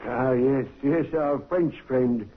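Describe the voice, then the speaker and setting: An actor playing a calm, dryly authoritative police inspector who questions a witness in an old radio drama. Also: male voice, medium pitch. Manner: brisk, crisp, dryly authoritative. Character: calm, dry Scotland Yard police inspector. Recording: tinny vintage radio broadcast with hiss.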